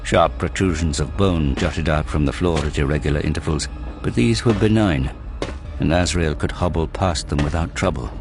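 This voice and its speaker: A man giving a measured, grave, scripted narration of a dramatic audio story. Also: extremely low pitch. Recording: music behind the voice.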